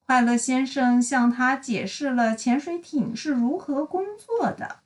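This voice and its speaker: A woman reading a children's storybook aloud in an expressive, deliberate storytelling style. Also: sits high, distant mic. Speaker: storybook reader. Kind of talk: bilingual children's read-aloud narration.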